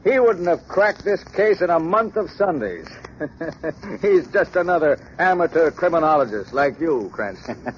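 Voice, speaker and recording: An actor playing a self-satisfied police commissioner in an old radio drama, gruff, scolding and dismissive. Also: male voice, a little high, very animated, unhurried. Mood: scornful bluster. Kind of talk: 1930s radio drama acting. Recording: muffled, hissy old radio recording.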